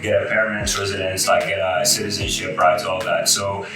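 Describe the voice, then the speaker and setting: A young man from Iraq, a native Arabic speaker, chatting as a guest in a relaxed way with many fillers and restarts. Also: sits low, a little fast. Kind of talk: casual interview chat. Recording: roomy echo, music behind. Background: guitar music.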